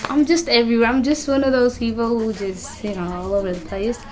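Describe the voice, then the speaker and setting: A woman's voice: a little high, fairly even intonation, a little fast. Woman from Kenya telling her story. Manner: candid, with frequent "you know" and "like" fillers. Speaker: interviewee. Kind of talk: personal interview narrative. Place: community youth center. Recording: music bed under interview. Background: music playing underneath.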